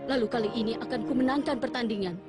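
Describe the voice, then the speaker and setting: A woman plays an earnest boy footballer in a cartoon. His voice is fairly high and tender, speaking reflectively over loud music. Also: fast talker, close to an everyday voice. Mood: wistful, earnest. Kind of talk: reflective dubbed cartoon monologue.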